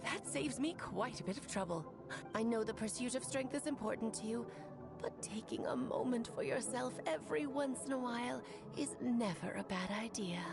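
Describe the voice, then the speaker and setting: A woman voicing a worldly female mentor in a game cutscene, gently teasing and speaking in a measured way. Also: a little high, gravelly. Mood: wry. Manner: playful, measured. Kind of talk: video game cutscene dialogue. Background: game music.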